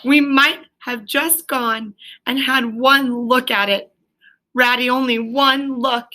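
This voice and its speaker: A small, heartbroken male mole from a storybook, played by a woman reading aloud. The voice is pitched high and bright, plaintive and broken, as he pleads for his little home. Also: steady tone, takes it slowly, full character voice. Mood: tearful, pleading. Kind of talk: children's storybook read aloud.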